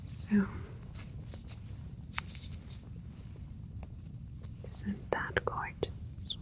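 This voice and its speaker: A woman playing a gentle, admiring alien scientist doctor in a soothing, clinical whispered ASMR roleplay. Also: extremely slow. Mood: awed, admiring.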